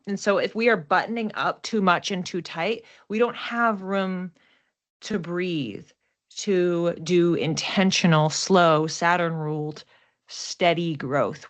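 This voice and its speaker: A woman giving a casual, unscripted card and astrology reading, thinking aloud in a reflective, conversational way. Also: fairly low pitch. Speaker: oracle card reader and astrology host.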